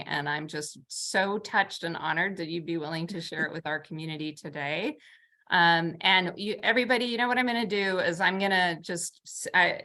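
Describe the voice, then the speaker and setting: A woman hosts an online community meeting, her speech casual and halting with frequent restarts. Her voice sits low but sounds bright and forward on the call. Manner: halting, many fillers and restarts. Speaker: host of a nonprofit community meeting. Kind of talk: casual hosting, wrapping up a meeting.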